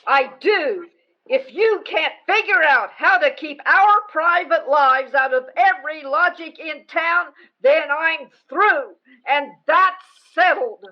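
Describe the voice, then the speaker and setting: Woman playing a high-pitched, nagging wife. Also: expressive, slow, muffled recording. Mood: angry ultimatum. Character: indignant, nagging wife, scandalized and threatening. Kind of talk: radio comedy acting.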